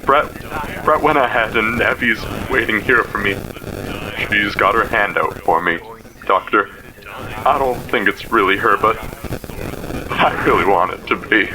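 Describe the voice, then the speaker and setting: An actor playing a terrified, tearful man, stammering and halting as he pleads in a payphone voicemail for an audio horror drama. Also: fairly low pitch. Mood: tearful dread. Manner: halting, stammering, repeating himself. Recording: noisy payphone voicemail with background ambience.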